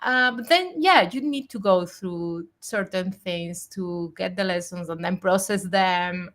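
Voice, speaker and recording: A woman's voice: lowish voice, a little slow, fairly bright tone. A woman in her forties hosting a live video chat, speaking in a reflective, earnest way with frequent fillers. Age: 40s. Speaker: host.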